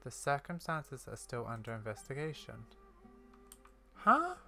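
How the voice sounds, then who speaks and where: A game streamer with a bright, punchy voice at a middling pitch. They talk casually through the game, reading text out and thinking aloud as they react. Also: wildly swooping pitch, measured pace, soft background music. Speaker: gaming streamer.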